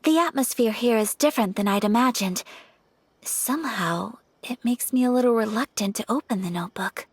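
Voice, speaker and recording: A woman playing a gentle, refined young noblewoman in a video game, soft and breathy, with polite, measured, formal speech. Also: fairly high pitch, a little hiss or hum, close to an everyday voice. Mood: hesitant, polite. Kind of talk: video game character acting. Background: background music.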